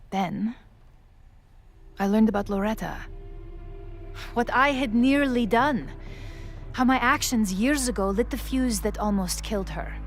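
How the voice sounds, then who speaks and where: Actress doing dignified guilty boss lady from a video game, formal measured talk, mid voice, music behind. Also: medium pitch, close to an everyday voice. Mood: defensive, confessional. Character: guilt-ridden, dignified woman in authority confessing her misdeeds.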